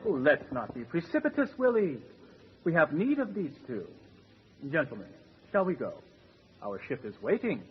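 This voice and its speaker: An actor playing a suave, menacing recruiter of sailors, speaking formally and with cool politeness in an old-time radio horror drama. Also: male voice, a little high, big pitch swings, moderate pace, pauses often. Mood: coolly polite. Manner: formal, wordy, coolly polite. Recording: muffled, noisy radio drama recording.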